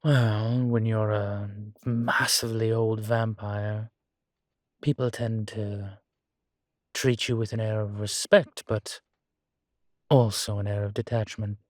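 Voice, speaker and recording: A man playing a guilt-ridden, gentle and wry ancient vampire in an intimate audio roleplay, speaking in a halting, reflective way. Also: fairly low pitch, level tone, dry recording, clean studio sound. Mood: reflective, humbled. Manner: halting, reflective, with sighs and soft chuckles. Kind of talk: intimate one-sided audio roleplay acting.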